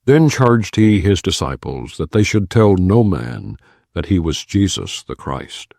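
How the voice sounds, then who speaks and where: Guy with a really low voice reading scripture slow and solemn, lots of rise and fall, dry sound. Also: big pitch swings, creaky, mellow, dry recording. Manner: measured, solemn reading of archaic verse. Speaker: audiobook narrator.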